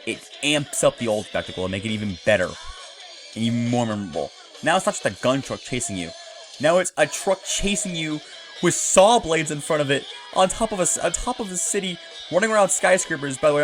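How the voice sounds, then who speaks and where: A man's voice: medium pitch, expressive, fast talker. A man giving casual, rambling, enthusiastic commentary while playing a video game. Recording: music playing behind the voice. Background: video game music.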